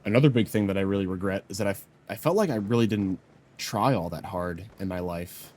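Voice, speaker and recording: A young man in his mid-twenties speaks to camera with a low, deep voice that sounds a little congested from a cold. He rambles earnestly and reflectively, leaning on frequent "you know" fillers. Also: a little fast, a little mellow, a little hiss or hum. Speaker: YouTuber. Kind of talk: reflective personal vlog talk.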